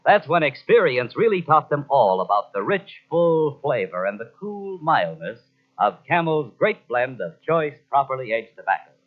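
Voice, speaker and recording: A man's voice: mid-range voice, big pitch swings, normal speed. An American man delivering a polished, emphatic 1940s radio commercial as an announcer. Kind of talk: 1940s radio cigarette advertising read. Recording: dull old radio recording from cassette.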